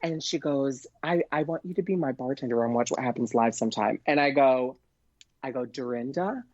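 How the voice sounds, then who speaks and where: A man chats through an anecdote as a podcast guest in an excitable, gushing way. He peppers it with "like" and voices the dialogue as he goes. The sound is dry and somewhat dull, with a lo-fi edge. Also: medium pitch, normal speed, no room sound. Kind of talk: casual anecdote, chatty storytelling.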